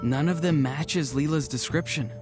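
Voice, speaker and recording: A man's voice: fairly high pitch, moderate pace, over music, lightly acted. An actor playing an earnest, attentive young tribesman in a video game. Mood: earnest, concerned.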